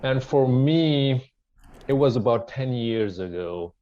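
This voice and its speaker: A man's voice: medium pitch, expressive, takes it slowly, lo-fi sound. A middle-aged man from Pakistan telling his personal story as an interview guest, in a conversational way. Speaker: podcast guest, wellness centre founder.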